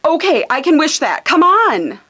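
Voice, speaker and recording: Woman playing a high-voiced, exasperated nine-year-old girl. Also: big pitch swings, moderate pace, bright, forceful tone, exaggerated voice. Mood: reluctant, impatient. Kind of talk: live radio theater script reading.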